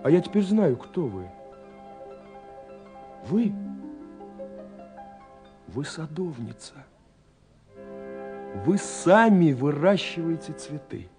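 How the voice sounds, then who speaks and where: A man's voice: on the high side, sing-song, slow pace, pauses often. An actor playing a dreamy, romantic young man in a radio play, musing tenderly over his love letters in a voice that often sinks toward a whisper. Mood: rapturous. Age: young adult. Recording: 1970s radio recording with music behind. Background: music.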